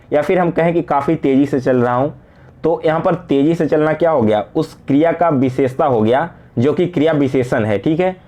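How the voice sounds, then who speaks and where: A man's voice: fairly high pitch, a little mellow. A young man working as an exam-prep tutor, explaining quiz questions conversationally, asking rhetorical questions, checking in and mixing in English words. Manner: mixes English words into speech.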